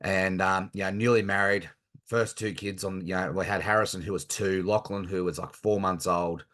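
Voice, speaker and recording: Deep-voiced Australian man, earnest and halting. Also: steady tone, fairly bright tone. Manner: earnest, halting, with frequent fillers. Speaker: guest. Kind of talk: casual interview chat.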